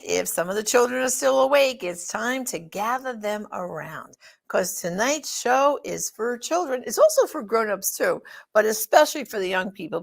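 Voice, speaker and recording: A woman's voice: fairly high pitch, creaky, fairly bright tone. A woman hosting a show, introducing it to her viewers in a presenter's address. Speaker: host, organization director.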